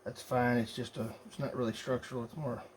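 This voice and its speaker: A man's voice: medium pitch, little pitch movement, brisk. Man muttering through a noisy workshop build. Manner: muttering, thinking aloud while working. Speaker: hobbyist radio builder. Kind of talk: casual how-to workshop narration. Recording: noisy workshop recording with clicks.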